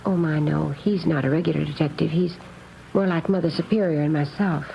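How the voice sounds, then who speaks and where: An actress playing an earnest, naive and very proper nun in a 1950s radio drama, speaking in careful, precise phrases. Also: female voice, low-pitched, fairly even intonation, fairly quick, vocal fry. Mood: eager, proper. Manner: prim, proper, carefully enunciated. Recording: dull old radio recording, faint noise.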